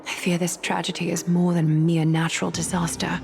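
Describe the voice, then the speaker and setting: A composed, cautious noblewoman of a fantasy game. Her voice sits fairly low with a creaky edge, formal and solemn. Music plays clearly behind her. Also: level tone, fairly quick. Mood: grave. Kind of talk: scripted video game drama.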